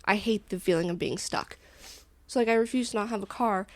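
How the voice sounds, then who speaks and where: A woman's voice: mid-range voice, a little fast, no echo. A young woman co-hosting a podcast, telling stories in a rambling, casual way with lots of "like". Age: young adult. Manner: chatty rambling storyteller, heavy use of "like". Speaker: podcast co-host. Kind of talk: casual chat.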